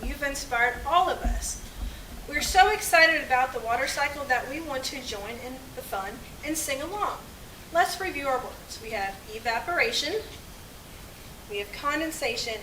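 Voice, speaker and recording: A woman's voice: sits high, expressive, normal speed. A woman presenting a children's puppet show with scripted lines addressed to the audience. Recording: echoey, distant, noisy.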